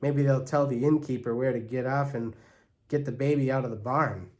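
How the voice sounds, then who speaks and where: A man's voice: mid-range voice, steady tone, moderate pace, darkish tone, close to an everyday voice. A man voicing a tough, blunt young girl in a storybook, grumbling with scornful indignation.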